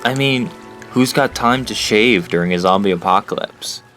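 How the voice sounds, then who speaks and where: A man's voice: fairly low pitch, normal speed, a bit bright, close to an everyday voice. An actor playing a sincere, plain-spoken teenage boy who leads a group of survivors in a horror audio drama. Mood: gentle, teasing. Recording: music under the voice. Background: music.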